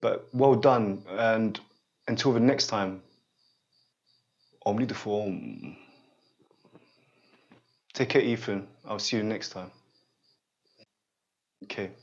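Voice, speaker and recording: A man in his forties guiding a student through a one-on-one therapy session, speaking haltingly and often stopping to check that the listener follows. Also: on the low side, lively intonation, creaky, a little mellow, lo-fi sound. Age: 40s. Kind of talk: unscripted one-on-one guidance session.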